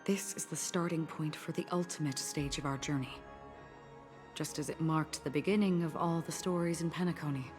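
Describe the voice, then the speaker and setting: An actress playing a calm, dutiful woman warrior in a video game, speaking formally and seriously. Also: low voice, fairly quick, natural voice. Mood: gentle, grave. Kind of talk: scripted video game acting. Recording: game audio with faint music.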